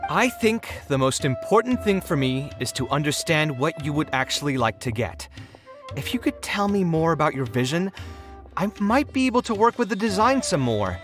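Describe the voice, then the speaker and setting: An actor playing a frank, articulate, slightly exasperated architect in video game dialogue. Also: male voice, a little high, very animated, moderate pace, over music. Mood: polite, patient. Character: adult male architect, frank, weary, slightly exasperated professional.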